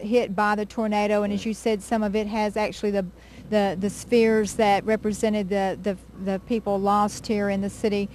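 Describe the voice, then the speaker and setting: A woman working as a local TV news anchor, speaking in a measured, sober way with occasional hesitations during live coverage. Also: mid-range voice, darkish tone, slight background noise. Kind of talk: unscripted live news reporting.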